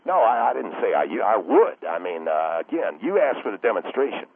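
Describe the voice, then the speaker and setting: A man voicing a smug male pitchman calling in, with a deep voice that swoops widely over a tinny phone line. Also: big pitch swings, brisk, vocal fry, slight background noise. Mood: defensive.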